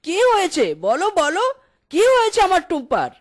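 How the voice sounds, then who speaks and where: A woman's voice: very high-pitched, very animated, brisk. A woman voicing a kindly, grieving uncle in a melodramatic cartoon tale, pleading and lamenting.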